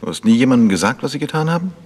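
An actor playing a calm, polite gentleman investigator in a German radio crime drama, asking insistent questions. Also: medium pitch, very fast. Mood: earnest, insistent.

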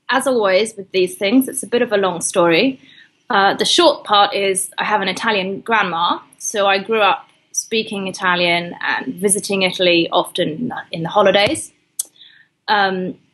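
Articulate woman historian, slightly husky. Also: medium pitch, a bit bright, low-quality recording. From United Kingdom. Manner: articulate, measured explaining. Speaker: historian guest. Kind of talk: interview answers.

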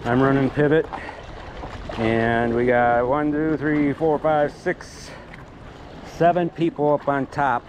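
A man hosting a magnet fishing outing by the water, commenting excitedly to the camera as he pulls up finds. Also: medium pitch, takes it slowly, poor audio. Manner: excitable, whoops and exclaims at finds. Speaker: magnet fishing channel host. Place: outdoors at the water's edge. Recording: outdoor noise and wind around the mic.

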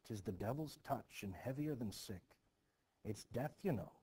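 A man's voice: medium pitch, animated, moderate pace, warm tone, slightly rough. A man reading the part of a grieving, superstitious village mother in a stage play, ominous and intense, in archaic phrasing.

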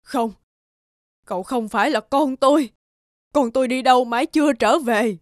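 A woman playing a grieving, confused elderly peasant mother in an animated folk tale, halting and plaintive. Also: high voice, no echo, clean studio sound, close to an everyday voice. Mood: distraught, desperate. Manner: plaintive, halting, repeating herself. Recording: music behind the voice.

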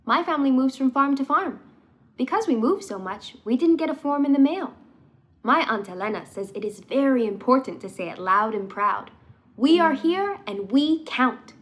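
A woman voicing a proud, loud little girl from a farmworker family, telling her story in a bold, emphatic way for a children's storybook. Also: high voice, expressive, moderate pace. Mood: proud, cheerful.

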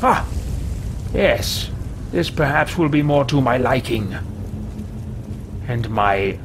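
A man's voice: medium pitch, animated, background noise. A man voicing a pompous, haughty sorcerer who calls himself king, theatrical and archaic in a video game roleplay. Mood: haughty, pleased. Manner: pompous, theatrical, archaic wording, slow and deliberate. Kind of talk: in-character roleplay video game let's play. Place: small home room with shelves, indoors.

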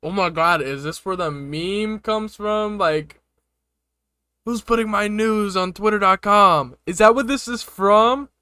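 A man's voice: high voice, measured pace, sharp tone, smooth, no echo. A young man giving casual reaction commentary as a video host, close on a desktop microphone. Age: young adult. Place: small room, desk setup.

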